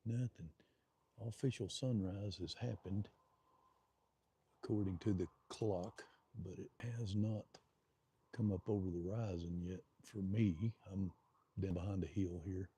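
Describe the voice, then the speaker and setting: A man's voice: low-pitched, fairly even intonation, a little slow, warm tone, slightly rough. A middle-aged man with an Oklahoma accent, chatting folksily to live-stream viewers from a deer blind and telling a rambling story.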